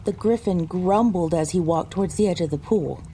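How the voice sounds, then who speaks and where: A woman playing a pony who narrates her own adventure in a measured, descriptive storytelling style. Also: lowish voice, dark tone, a little hiss or hum, close to an everyday voice, rough recording. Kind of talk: scripted first-person story narration.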